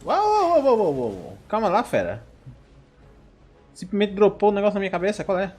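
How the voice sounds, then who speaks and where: A Brazilian man giving casual, excitable commentary as host of a gaming video. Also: high-pitched, fast talker, husky. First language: Portuguese. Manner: excitable, reactive. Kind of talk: casual let's play commentary.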